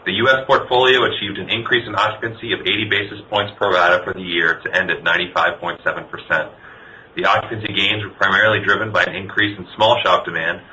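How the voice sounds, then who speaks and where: Low, forceful executive man reading prepared remarks. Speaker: company executive. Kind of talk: scripted business presentation on an earnings call. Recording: dull lo-fi conference call, faint noise.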